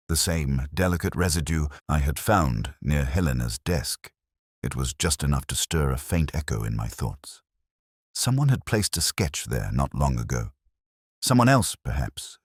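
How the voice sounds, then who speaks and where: A man voicing a reflective, melancholy police detective who narrates a mystery in a measured, literary way. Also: rumbling low, sing-song, slightly rough, no room sound, studio quality, full character voice. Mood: brooding, reflective. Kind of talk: first-person scripted mystery narration.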